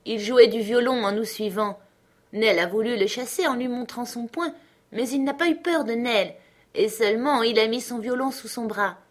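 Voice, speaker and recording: A woman's voice: on the high side, moderate pace, voice pushed up, natural voice. A woman voicing a sweet, eager and generous little girl in a storybook reading. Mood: admiring, eager.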